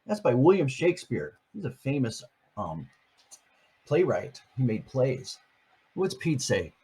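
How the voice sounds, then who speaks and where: A man's voice: mid-range voice, big pitch swings, measured pace, mellow, husky. An older man, a grandfather, reading a picture book aloud to his grandchildren and chatting about each page. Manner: chatty, explains each page in plain words.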